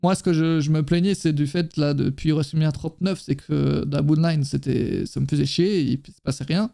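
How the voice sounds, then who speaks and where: A man's voice: on the high side, fairly even intonation, a little fast, creaky, mellow. A man co-hosting a casual live-stream chat, talking in an informal, slangy way with casual swearing. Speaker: wrestling talk show co-host.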